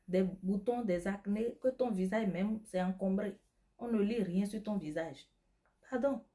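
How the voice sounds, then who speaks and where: A woman's voice: medium pitch, dark tone. A woman whose first language is not French, giving emphatic, preachy beauty and self-care advice straight to her viewers. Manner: preachy, emphatic, addresses viewer as tu, repeats key points. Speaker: beauty advice vlogger selling skincare products. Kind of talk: direct-to-camera advice talk.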